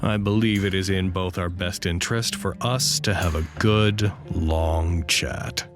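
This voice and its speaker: An actor playing a wry, excitable staff announcer at a secret research facility in an audio drama, his formality prone to fluster. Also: low voice, unhurried, music in the background. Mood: composed, formal.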